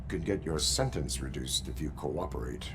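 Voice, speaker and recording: An actor playing a stern cyborg police officer in a video game, speaking formally and deadpan with an American accent. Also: male voice, very deep voice, moderate pace, slight background noise, heavily acted. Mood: stern, flat. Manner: formal, deadpan, clipped commands.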